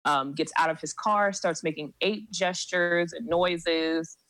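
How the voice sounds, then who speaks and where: A woman guest on a podcast, telling a personal story in a measured way with many pauses. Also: on the low side, steady tone, fairly bright tone, no room sound, low-quality recording. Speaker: seminary student. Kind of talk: recounting a personal story in conversation.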